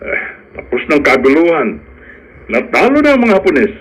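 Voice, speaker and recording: A man's voice: fairly high pitch, huge pitch swings, moderate pace, a bit bright, heavily acted, poor audio. A man acting in a Filipino radio drama as a middle-aged, commanding landowner with an authoritative manner. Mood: brisk, pleased.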